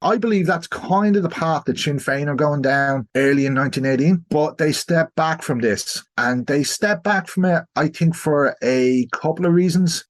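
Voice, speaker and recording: An Irish man talks as a guest historian on a podcast. His delivery is measured and explanatory, at an average pitch, and he qualifies his points with asides as he goes.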